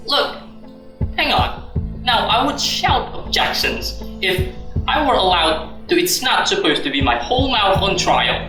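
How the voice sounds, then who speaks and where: Radio drama role: pompous, sarcastic executive on the defensive, played by a woman, very lively pitch, music underneath. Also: measured pace, reverberant. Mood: indignant. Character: indignant, sardonic, learned male executive accused of misconduct.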